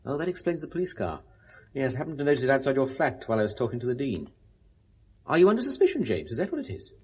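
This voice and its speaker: An actor playing a genteel, pious and concerned British confidant in a 1970s radio drama, speaking with gentle firmness. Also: male voice, mid-range voice, big pitch swings, quick pace, breathy edge. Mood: surprised, puzzled.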